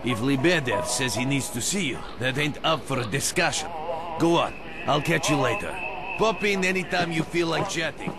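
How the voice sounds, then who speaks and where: An actor playing a friendly, streetwise bartender in a video game, chatting in a chummy, slangy way. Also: male voice, fairly high pitch, expressive, normal speed, natural voice. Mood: chummy, confiding. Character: friendly streetwise male bartender at a swamp outpost. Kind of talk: video game character dialogue. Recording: loud music behind the voice. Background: loud music.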